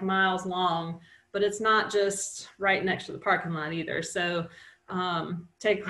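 A middle-aged woman talks through a slideshow on a video call, loose and conversational, stopping for "um" and starting sentences over. Her voice is mid-pitched and sits in a roomy, echoey space. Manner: relaxed, chatty, many fillers and restarts. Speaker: wildlife nonprofit director presenting a webinar. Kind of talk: casual presentation on a video call.

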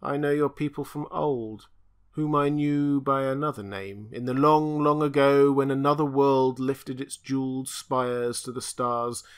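A man's voice: mid-range voice, steady tone, a little slow, smooth, close to an everyday voice. A man voicing an ancient, blind, tortured alien being that speaks in a raised, mournful, chanting way. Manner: lamenting, chanting, raised and pleading.

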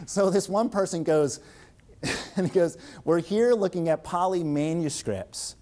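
A man's voice: sits high, takes it slowly, a little mellow. A middle-aged man with a Philadelphia accent giving an academic seminar talk in a room with an audience, enthusiastic and rambling with many restarts and fillers. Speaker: visiting lecturer.

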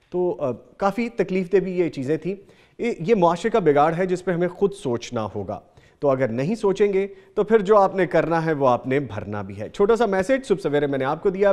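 A man's voice: on the high side, a little mellow. A man in his thirties hosting a religious morning show in a TV studio, speaking in an earnest, formal and courteous way. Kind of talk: TV show presenting. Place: TV studio set.

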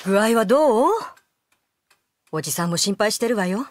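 Woman acting fussy aunt, very animated voice. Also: mid-range voice, normal speed, close to an everyday voice. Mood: sweetly solicitous. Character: fussy middle-aged aunt, sugary then indignant. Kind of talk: anime audio drama acting.

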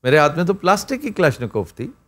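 A middle-aged man hosting a studio chat show, telling stories and reciting verse in an animated way. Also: on the high side, fast talker. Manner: animated, storytelling with quoted voices. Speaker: host. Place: TV studio set.

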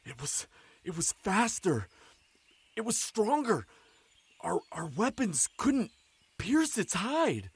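A man's voice: high-pitched, sing-song, slow pace, long pauses, husky, dry recording, exaggerated voice. A man playing a shaken, cowardly soldier who stammers and pleads deferentially in a fantasy audio drama. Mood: fearful, deferential.

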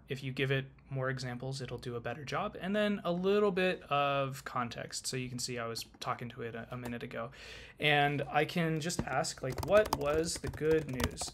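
A man presenting a software tutorial in a conversational, informal and explanatory way, as in a casual screen-share. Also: mid-range voice.

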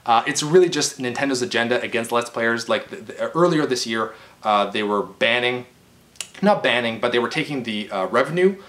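A man's voice: medium pitch, normal speed, a bit bright. A man, giving an exasperated, emphatic off-the-cuff rant to camera, often stammering and restarting. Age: 20s. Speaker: video game vlogger. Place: living room, indoors. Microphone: webcam or camera at about arm's length.

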